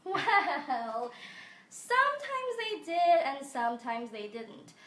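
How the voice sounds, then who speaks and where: A young woman from Hong Kong giving measured, explanatory interview answers. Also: high voice, big pitch swings, a bit bright, echoey room, low-quality recording. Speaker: guest.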